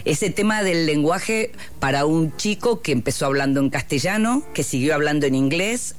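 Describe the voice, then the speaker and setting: An interviewer who asks measured, thoughtful questions and draws out key phrases. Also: mid-range voice. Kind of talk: conversational interview.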